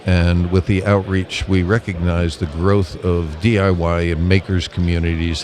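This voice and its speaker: A man being interviewed as a public relations manager, answering conversationally in an enthusiastic, talkative, emphatic way. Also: deep voice. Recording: live event with loud crowd behind. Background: busy crowd at a convention.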